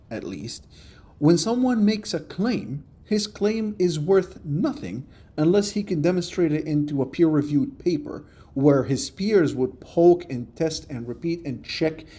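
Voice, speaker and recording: A man giving a measured, explanatory commentary for a response video, recorded on a phone. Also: fairly high pitch, warm tone, breathy edge.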